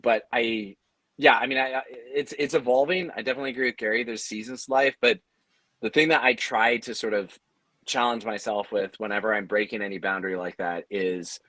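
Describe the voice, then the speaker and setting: A man's voice: mid-range voice, fairly even intonation, brisk, bright, forceful tone, dry recording. A man speaking earnestly and conversationally on a panel, explaining how he leads his team. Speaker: audio drama company leader on a panel.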